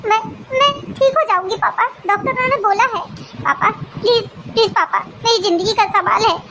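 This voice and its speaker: A very high, almost childlike voice over noticeable background noise: a woman playing a distraught young wife in a cartoon family melodrama. She pleads tearfully and stumbles over repeated words, full of remorse and desperation. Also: moderate pace. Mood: desperate pleading.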